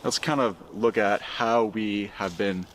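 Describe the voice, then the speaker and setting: A man in his thirties delivering a deliberate, explanatory video monologue. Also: fairly low pitch, fairly even intonation, a bit bright, a little hiss or hum, poor audio. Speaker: video essayist.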